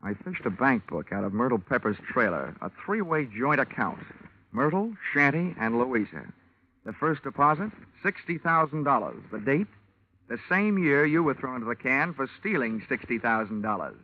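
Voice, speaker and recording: A wisecracking radio detective. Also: male voice, medium pitch, very animated, moderate pace. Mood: pressing. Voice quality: wisecracking, dry. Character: hard-boiled male insurance investigator, wry. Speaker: detective lead and narrator. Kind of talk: 1950s radio drama acting. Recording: muffled old radio recording.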